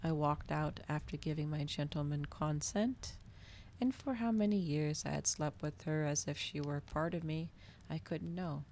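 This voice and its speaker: A woman with a notably low voice reads fiction in a cozy ASMR style. Her delivery is soft, unhurried and dramatic, with plenty of gravelly fry at the edges. A faint noise sits behind the recording. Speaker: streamer reading a novel aloud. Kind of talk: cozy ASMR storybook narration.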